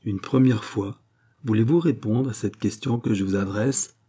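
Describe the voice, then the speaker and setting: A commanding, fervent doctor, read by a man in a low, husky voice that swells into grand declamation. He presses and insists, his tone warm and dark beneath the force. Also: moderate pace. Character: intense male doctor imposing his will.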